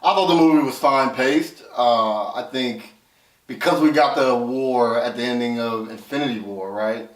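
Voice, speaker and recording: A man's voice: mid-range voice, moderate pace. A man co-hosting a casual film review, explaining his opinions conversationally and reasoning them out step by step. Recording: roomy echo, distant from mic.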